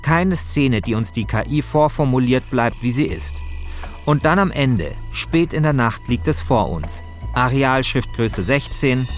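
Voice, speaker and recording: A man hosting a podcast, moving between casual chat and scripted narration. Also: mid-range voice. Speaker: podcast host and author.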